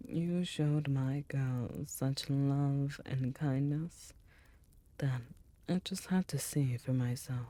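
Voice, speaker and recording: An ancient female succubus demon queen, performed by a woman for an intimate ASMR roleplay. Her voice is very deep, dark and mellow, slipping often into soft breathy whispers. She speaks slowly, with a tender, teasing and remorseful temper. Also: dry recording. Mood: fond, confiding.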